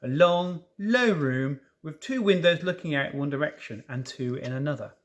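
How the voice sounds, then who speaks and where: A man reading a children's story aloud in an expressive way, with informal asides to listeners. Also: on the high side, normal speed. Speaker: storybook reader.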